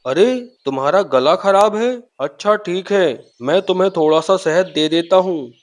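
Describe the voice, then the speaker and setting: A man plays a helpful bear from an animated fairy tale. He sounds obliging and brotherly, a kindly male neighbour. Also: sits high, unhurried.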